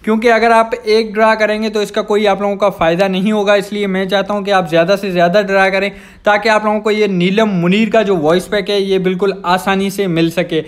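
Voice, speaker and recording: A man's voice: high voice, flat delivery, a little fast, smooth. A young man with a Pakistani accent giving a casual gameplay explainer as a gaming channel host, addressing viewers in a repetitive, explanatory way.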